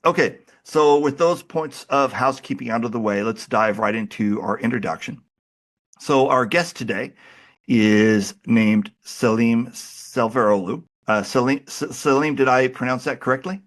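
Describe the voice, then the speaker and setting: A man hosting a podcast while he has a cold, talking in a relaxed, chatty and self-deprecating way. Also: mid-range voice, normal speed. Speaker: podcast host. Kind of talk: casual interview chat.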